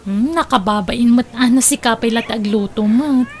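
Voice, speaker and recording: A woman's voice: fairly high pitch, normal speed, slight background noise, close to an everyday voice. A Filipino woman playing a bossy, lazy young adult daughter in a radio drama, with a whiny, curt manner. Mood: complaining, coy.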